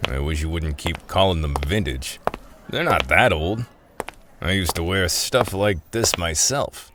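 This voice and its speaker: Wisecracking thief in an audio drama, real deep voice, takes his time, kinda casual. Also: a little slow, noisy background. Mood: wry. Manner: casual, wry, hesitant asides. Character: wisecracking middle-aged thief recruited to time-travel. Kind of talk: audio play acting.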